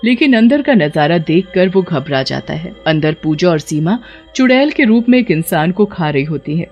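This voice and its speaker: A woman's voice: lowish voice, sing-song, normal speed, a little mellow, highs cut off, faint music behind. A woman narrating a scripted moral tale as the storyteller.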